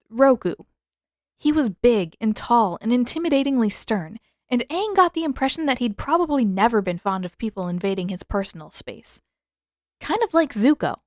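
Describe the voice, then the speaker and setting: A woman reading scripted fiction as narrator, expressive and storylike in her delivery. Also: on the high side, no room sound, clean studio sound. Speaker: fan fiction author and narrator.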